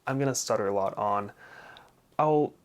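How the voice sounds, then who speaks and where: A young man explaining his personal experience to viewers, stuttering with repeated sounds, blocks and prolonged, deliberate phrasing. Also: on the low side. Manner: deliberate, smoothly prolonged speech between stutters. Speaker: vlogger sharing personal experience. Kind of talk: explanatory personal talk to camera.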